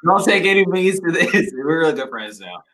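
A young man in casual conversation, speaking earnestly and reflectively with halting restarts. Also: a little high, big pitch swings, fairly bright tone. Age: young adult. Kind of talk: casual chat.

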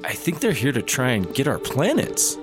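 A blithe, gullible squad partner who thinks highly of himself, in a comic sci-fi audio play. His medium-pitched voice swoops extremely widely. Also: wildly swooping pitch, normal speed, over music. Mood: excited. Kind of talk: sci-fi comedy audio drama acting.

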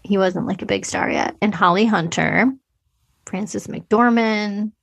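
A woman chats informally as a podcast co-host discussing film. Her voice has a noticeable gravelly fry at a middling pitch, and the sound is dry and close. Her phrasing is loose and conversational, full of filler words. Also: a little slow, dry recording.

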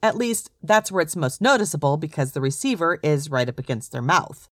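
A woman's voice: sits low, sing-song, fairly quick, no echo, studio quality. A woman hosting a solo podcast, telling stories in a direct, conversational way from a script. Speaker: podcast host, recruiter.

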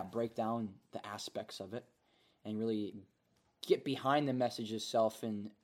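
A young man from Minnesota hosting a casual faith podcast, talking in an earnest, easygoing way with frequent fillers and restarts. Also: fairly low pitch. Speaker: podcast host.

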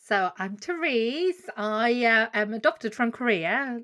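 A woman's voice: a little high, animated, takes it slowly, a bit bright. A woman from Sweden, a guest on a podcast, chatting casually about her business with fillers and frequent laughs. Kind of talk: casual interview chat.

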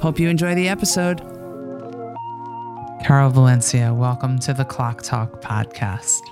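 A woman's voice: a bass voice, a little slow, music in the background. A woman hosting a podcast in an informal, effusive, joking way, in casual conversation. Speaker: podcast host.

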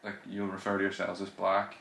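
A man's voice: sits low, monotone, a little fast, vocal fry, a bit bright, slight background noise. A man co-hosting a podcast, talking hesitantly with lots of fillers and tag questions. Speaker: podcast co-host. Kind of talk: casual chat. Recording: echoey room.

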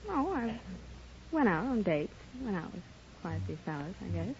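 Female contestant, 20, medium pitch, answering questions on a slightly noisy vintage radio show. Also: very animated, moderate pace, darkish tone. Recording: muffled old radio recording, faint noise and audience. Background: live studio audience.

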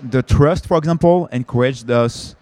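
French-accented male panelist, halting delivery with frequent restarts. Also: mid-range voice, darkish tone. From France. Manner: hesitant, many fillers and restarts. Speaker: panelist, company founder. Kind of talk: conference panel discussion.

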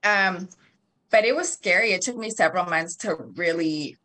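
A woman's voice: lowish voice, normal speed, bright, forceful tone. A woman in her thirties talking casually as an interview guest, chatty with frequent laughs and fillers. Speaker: guest, marketing and PR company founder.

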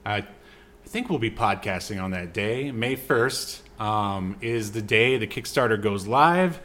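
A man's voice: on the low side, expressive, a little slow, a bit bright. A man hosting a podcast in casual chat, with an easygoing, rambling way of talking. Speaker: podcast host.

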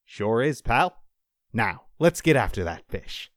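A man's voice: medium pitch, very animated, slow pace, a bit bright, no echo, studio quality, pitched above the performer's own voice. A man voicing a tender, earnest father who is desperately attached to his young son, in an audiobook story. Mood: tender, encouraging. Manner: emotional, earnest.

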